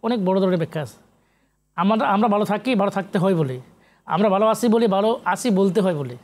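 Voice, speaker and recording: A man's voice: high-pitched, lively intonation, fairly quick, a little mellow. A man from Bangladesh talking as an interview guest, emphatic and repetitive, posing rhetorical questions and mixing in English words. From Comilla, Bangladesh. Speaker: guest poet and researcher. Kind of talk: interview talk.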